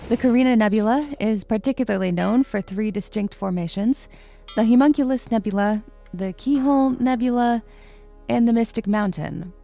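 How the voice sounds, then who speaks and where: A woman playing an earnest astronomy professor lecturing her students in an audio drama, explaining with small hesitant pauses. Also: fairly high pitch, gravelly, warm tone, soft background music. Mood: earnest, teacherly.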